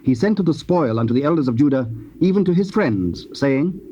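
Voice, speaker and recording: A man narrating scripture in a solemn, measured and formal manner. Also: a little high, lively intonation, mellow. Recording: dull, hissy old cassette tape.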